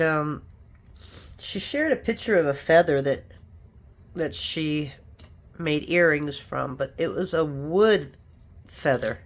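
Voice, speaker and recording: A craft vlogger who sounds a little sniffly and stuffed up. The speaker talks casually through a hands-on project and drifts into chatty asides. The voice sits at a middling pitch. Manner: chatty and rambling, with frequent asides. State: sniffly, stuffed up from allergies or a cold. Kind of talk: casual tutorial chat while working.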